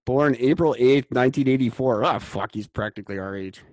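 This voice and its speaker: A man's voice: medium pitch, brisk, a little mellow, no room sound. A man in his forties co-hosting a podcast, rambling and sarcastic, swearing freely in casual banter. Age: 40s. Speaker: podcast co-host.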